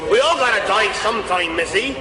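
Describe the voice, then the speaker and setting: An actor playing a cheerful, philosophical Cornish yokel with a rustic manner in a comedy radio play. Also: male voice, high voice, lively intonation, brisk. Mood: folksy, cheerful. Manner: rustic, folksy. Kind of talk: 1980s British comedy radio play acting. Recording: loud music and audience behind.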